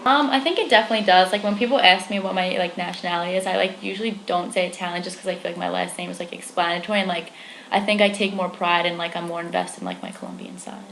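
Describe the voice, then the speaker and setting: A woman's voice: on the low side, lively intonation, fast talker, gravelly, a bit bright, slight background noise. A young woman answering interview questions in a casual, hesitant way, full of "like" fillers. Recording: echoey room.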